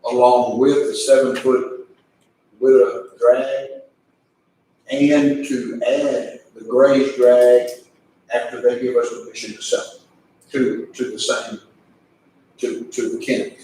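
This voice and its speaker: A man speaks as a local commissioner, plainly and deliberately. The setting is an informal meeting. He sounds away from the microphone in a heavily echoing room, with a faint layer of noise. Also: medium pitch, mellow, far from the mic. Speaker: county commissioner. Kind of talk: informal meeting motion and discussion.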